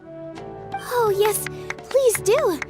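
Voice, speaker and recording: A woman voicing a gentle, polite young woman in a video game. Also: very high voice, lively intonation, extremely slow, music in the background, full character voice. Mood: friendly.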